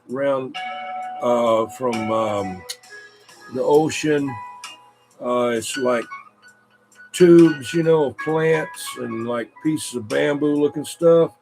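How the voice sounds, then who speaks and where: A man's voice: mid-range voice, rough recording. A man chatting casually and in a rambling, folksy way as a CB radio operator hosting a show.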